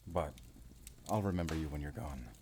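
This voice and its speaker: Werewolf hunter for audio drama, male actor: low voice, commanding but tender, resolute and intimate, over noticeable ambience. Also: normal speed, darkish tone, slight background noise. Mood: tender, wistful. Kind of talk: cinematic audio roleplay acting.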